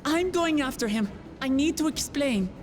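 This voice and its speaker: An actress playing an earnest, compassionate young heroine in a video game cutscene, sincere and thoughtful. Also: female voice, high-pitched, animated, moderate pace, soft background music. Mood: urgent, resolved.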